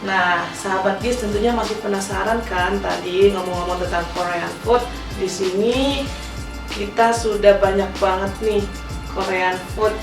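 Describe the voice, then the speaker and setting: A woman's voice: mid-range voice, steady tone, a little slow. A young woman hosting a casual podcast interview, chatty, slipping English phrases into her talk. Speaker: podcast host. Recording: echoey room, mic at a distance, music behind.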